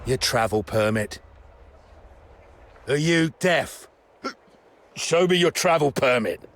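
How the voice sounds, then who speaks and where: An actor playing a bullying checkpoint guard in a video game, contemptuous and barking orders. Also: male voice, mid-range voice, animated, takes it slowly, a bit bright, faint music behind. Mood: demanding. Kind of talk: video game character acting.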